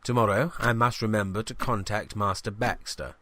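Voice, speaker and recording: A man's voice: on the low side, steady tone, moderate pace, sharp tone, slight background noise. A man playing a self-important novice small-town reporter, formal and dismissive, in a scripted comic audio diary. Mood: self-satisfied. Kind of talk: scripted comedy narration, journalist's audio diary.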